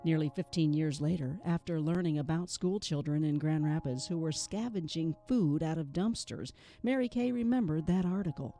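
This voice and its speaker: A narrator reading a formal tribute script at a measured pace. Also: medium pitch, warm tone, soft background music.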